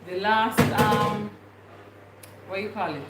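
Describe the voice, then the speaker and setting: A woman's voice: medium pitch, slow pace, loud music behind the voice. A young woman with a Jamaican accent chatting casually on a livestream, rambling and thinking aloud with many fillers. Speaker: vlogger. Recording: echoey room with clear background noise.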